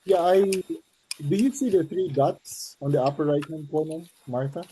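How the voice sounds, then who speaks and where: Patient helper, dark voice, faint noise. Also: medium pitch, a little slow, poor audio. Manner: patient, apologetic instructions. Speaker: meeting participant giving tech help. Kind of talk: virtual meeting chat.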